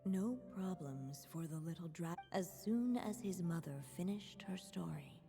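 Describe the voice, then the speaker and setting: A woman playing a gentle, solemn storybook narrator, telling a fairy tale for a game in measured phrases. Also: on the low side, vocal fry. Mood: solemn storytelling. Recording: long reverb, music underneath. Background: music.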